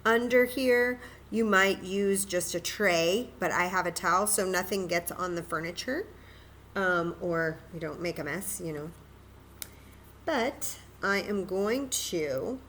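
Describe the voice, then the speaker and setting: A woman's voice: lowish voice, fairly bright tone, slight background noise. A woman hosting a children's story time, patiently and encouragingly demonstrating a craft step by step in simple, casual words.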